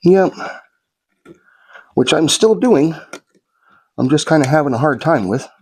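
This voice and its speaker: A man's voice: on the high side, animated, a little slow, a little mellow, slightly rough. A man narrating a how-to build in a laid-back, folksy way while he works, sniffly from a slight cold. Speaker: guitar kit builder.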